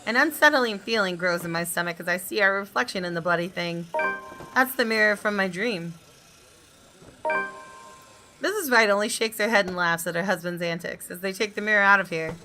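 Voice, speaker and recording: A woman reading a visual novel aloud as expressive first-person narration. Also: medium pitch, fairly quick, music in the background, poor audio. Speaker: gaming video host reading aloud.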